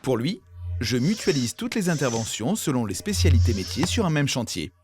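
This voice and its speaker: A man voicing an eager, self-assured, helpful software mascot, presenting in an upbeat way in an educational cartoon. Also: medium pitch, big pitch swings, moderate pace, soft background music, natural voice. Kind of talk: scripted explainer in an educational cartoon.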